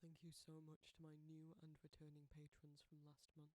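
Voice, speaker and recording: Mid-pitched creator reading an outro. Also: monotone, normal speed, a little mellow. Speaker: audio roleplay creator. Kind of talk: scripted channel outro, reading credits.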